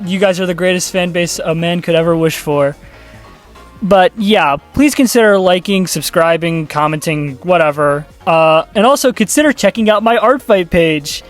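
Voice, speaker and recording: A man giving casual, sarcastic YouTube commentary, rambling and swearing freely. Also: high-pitched.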